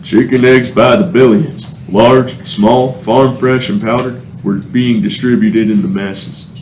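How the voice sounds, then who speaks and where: A man's voice: mid-range voice, fairly even intonation, a little slow, a little mellow, lightly acted. A man narrating a radio play in a scripted, dramatic storytelling style. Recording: echoey room, faint noise.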